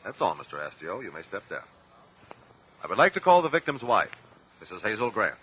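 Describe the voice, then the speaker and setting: An actor playing a composed attorney in a radio courtroom drama, questioning witnesses in a measured, persistent way. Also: male voice, on the low side, sing-song, fairly bright tone, breathy edge, heavily acted. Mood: insistent. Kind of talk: old-time radio courtroom drama acting. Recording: dull, noisy old radio recording.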